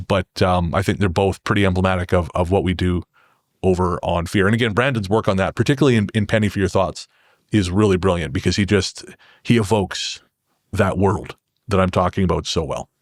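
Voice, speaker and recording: A man's voice: low voice, fairly quick, dry recording, clean studio sound. A man from Canada talking as a podcast guest in a reflective, conversational way, often restarting his phrases. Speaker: podcast guest, horror fiction creator. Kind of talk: conversational interview talk.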